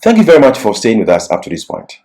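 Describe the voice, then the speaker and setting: A man delivering a scripted Bible lesson in an earnest, deliberate, emphatic manner. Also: medium pitch, huge pitch swings, dark tone. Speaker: Bible teacher. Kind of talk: religious lesson, scripted exposition.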